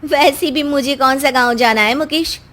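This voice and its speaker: A woman voicing a haughty, mocking young city wife in an animated story. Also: high-pitched, moderate pace. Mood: sassy. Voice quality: sassy, mocking, laughs at others. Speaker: voice actor.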